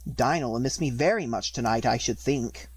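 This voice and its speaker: A man's voice: medium pitch, moderate pace, breathy edge, lightly acted. A man voicing a chatty, curious little girl who muses to herself earnestly in an audiobook. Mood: wistful, chatty. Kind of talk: audiobook character reading.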